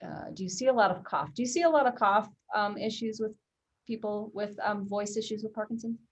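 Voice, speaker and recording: A woman's voice: mid-range voice, a little fast, husky. A woman hosting a webinar, reading viewer questions aloud with frequent fillers. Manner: friendly, reads questions with many fillers. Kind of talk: casual webinar Q&A.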